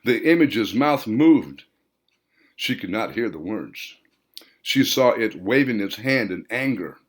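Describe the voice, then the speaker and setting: A man reading his own novel aloud as a dramatic audiobook, phrasing deliberately and drawing out words for emphasis. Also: lowish voice, lively intonation. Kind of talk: dramatic scripted audiobook narration.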